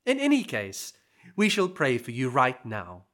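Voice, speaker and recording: A narrator doing an earnest gentle priest. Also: mid-range voice, wildly swooping pitch, a little slow. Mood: consoling.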